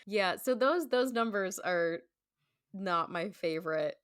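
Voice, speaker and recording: A woman's voice: mid-range voice, animated, takes it slowly, a bit bright, smooth, dry recording, clean studio sound. A woman guest on a podcast, chatting casually and informally and often saying "like". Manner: informal, peppered with "like". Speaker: podcast guest, stage performer. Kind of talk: casual chat about classic film.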